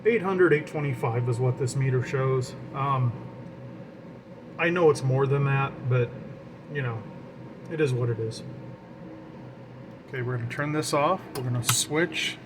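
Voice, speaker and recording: Male hobbyist, average-pitched, informal explainer who mutters through each step; noticeable equipment hum and fan noise in the recording. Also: measured pace, pauses often. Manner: talks to himself while working, explanatory. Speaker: radio hobbyist demonstrating equipment. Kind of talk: informal hands-on demonstration. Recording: clear steady background equipment noise.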